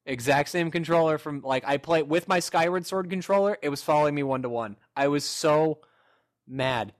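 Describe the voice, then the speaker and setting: A man's voice: a little high, normal speed. A young man co-hosting a casual gaming podcast, rambling and joking with friends, often restarting his sentences. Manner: rambling, self-interrupting, joking. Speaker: podcast co-host. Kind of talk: casual chat among friends.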